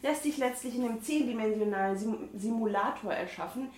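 A woman with a German regional dialect co-hosting a casual talk show, reading a book aloud with halting, amused asides. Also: on the high side. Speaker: podcast co-host reading from a book. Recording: echoey room with faint noise.

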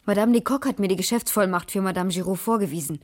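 An actress playing a sharp, insistent female private detective who probes and reasons, in a German radio crime drama. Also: medium pitch, fast, dry recording, clean studio sound, natural voice. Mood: assertive. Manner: probing, insistent.

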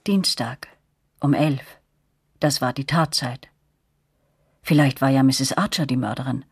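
Eine Schauspielerin spricht die nachdenkliche Ich-Erzählerin eines Hörspiels, gemessen und erzählend. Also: female voice, a bass voice, husky, dry recording, exaggerated voice. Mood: pensive. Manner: measured, reflective storytelling. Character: reflective woman narrator recalling her troubled girlhood. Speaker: first-person narrator. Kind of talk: scripted radio drama narration. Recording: dry studio with music underneath.

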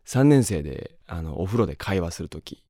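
Man, very low pitch, rambling, reflective, unscripted cast comment, dry recording. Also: very animated, moderate pace, warm tone, no room sound. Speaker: voice actor giving cast comment.

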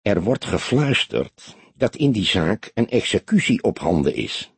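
A man's voice: on the low side, very animated, unhurried, creaky, breathy edge, no room sound, full character voice. A man reading the part of a small, furtive underworld barkeeper in an audiobook, hesitant and confiding.

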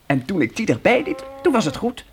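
A woman's voice: mid-range voice, sing-song, a little fast, a little hiss or hum. A woman playing a determined, protective boy who leads his younger siblings in a Dutch radio play, sounding confident and reassuring. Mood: stubbornly confident.

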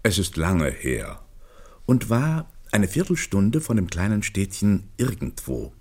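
An actor playing the storyteller of an old comic tale, narrating a literary radio play in a measured manner. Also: male voice, lowish voice, big pitch swings. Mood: measured storytelling.

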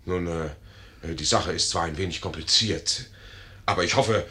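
An actor playing a vain, gullible young army lieutenant, brash and pompous, in a 1950s radio drama. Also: male voice, sits low, measured pace, pushed and bright. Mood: puzzled, agreeable. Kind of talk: 1950s German radio play acting.

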